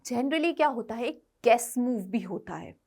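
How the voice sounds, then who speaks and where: A woman's voice: on the high side, expressive, moderate pace, gravelly, darkish tone. A woman with an Indian accent teaching an exam-prep lesson in an instructive, emphatic way, repeating points and checking that students follow. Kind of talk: classroom-style lesson, mixing explanation with read-out tips.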